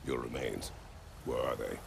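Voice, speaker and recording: An actor playing a stern, stoic middle-aged warrior god in a video game, gravelly, terse and commanding in formal words. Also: male voice, rumbling low, moderate pace, background noise, exaggerated voice. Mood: solemn, probing.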